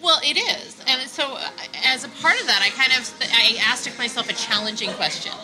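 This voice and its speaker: A woman's voice: on the high side, lively intonation, moderate pace, bright, forceful tone, slight background noise, poor audio. A middle-aged woman, talking as a congressional candidate in a casual, wry, joking interview. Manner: dry, wry humor. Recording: music in background.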